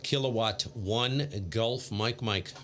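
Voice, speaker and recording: A man's voice: medium pitch, slow, fairly bright tone. A man live-streaming amateur radio, chatting casually and muttering to himself between call-outs. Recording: clear background radio noise.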